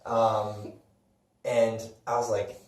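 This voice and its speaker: A man's voice: lowish voice, little pitch movement, extremely slow. A man co-hosting a casual movie and gaming chat, talking in a slangy, sweary way. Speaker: podcast host. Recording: echoey room, distant mic, hissy noise.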